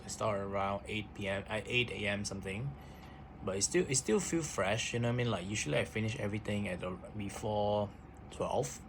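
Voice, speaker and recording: A man's voice: lowish voice, noisy background. Hesitant man. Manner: hesitant, repeats words, many fillers. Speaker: vlogger. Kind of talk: casual spoken diary.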